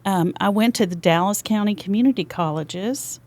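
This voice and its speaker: A woman's voice: medium pitch, moderate pace, darkish tone, no echo. Chatty older Texan woman. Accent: Texas. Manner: chatty and talkative. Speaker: podcast guest. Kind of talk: casual interview chat. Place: podcast studio.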